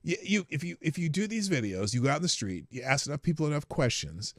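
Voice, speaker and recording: A man hosting a live stream in casual solo commentary, sarcastic and animated, swearing and muttering to himself between points. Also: on the high side, quick pace, no room sound, studio quality.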